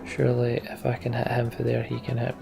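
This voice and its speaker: A man's voice: medium pitch, monotone, fairly quick, gravelly. A British man giving casual, wry game commentary as a let's play host, muttering to himself as he goes. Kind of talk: casual game commentary, reading on-screen story aloud. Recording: game music behind the voice. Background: video game music.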